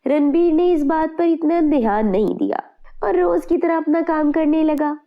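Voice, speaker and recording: A woman's voice: high voice, expressive, moderate pace, phone-quality sound. A woman narrator reading a scripted moral tale for children.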